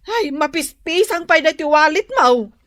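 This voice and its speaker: A woman's voice: sits high, animated, measured pace, no room sound. A woman acting a worried, scolding wife in a comedy radio drama, plaintive and full of exclamations. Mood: exasperated.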